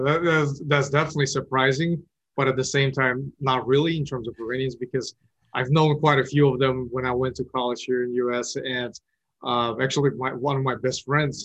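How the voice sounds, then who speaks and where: A man's voice: mid-range voice, low-quality recording. A man from Kazakhstan interviewing a guest in a casual chat, talking loosely with many fillers and restarts. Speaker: interviewer, investor.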